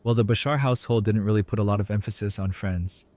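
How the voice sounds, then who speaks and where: A man's voice: lowish voice, fairly even intonation, quick pace, a little mellow, lightly acted. A man playing a wry, earnest young doctor in an audio drama, with a casual, teasing delivery. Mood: sincere, reflective. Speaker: voice actor in a fan audio drama. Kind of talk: conversational audio drama acting.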